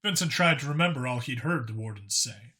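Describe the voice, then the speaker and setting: Bright-voiced man narrating a story. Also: mid-range voice, expressive, fairly quick. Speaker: audiobook narrator.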